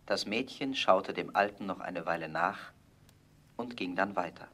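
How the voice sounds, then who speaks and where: A man narrating a scripted fairy tale as a storyteller, with measured, expressive storybook phrasing. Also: low-pitched, a little hiss or hum.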